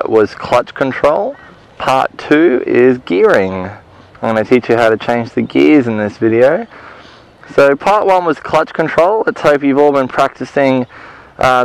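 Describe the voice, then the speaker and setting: A man's voice: mid-range voice, clean tone. Man talking inside a motorcycle helmet. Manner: relaxed, rambling, many fillers and restarts. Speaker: motorcycle riding instructor. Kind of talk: casual step-by-step tutorial while riding. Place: outdoor car park on a slow-moving motorbike. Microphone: helmet-mounted mic with visor down. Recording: muffled helmet echo with engine noise.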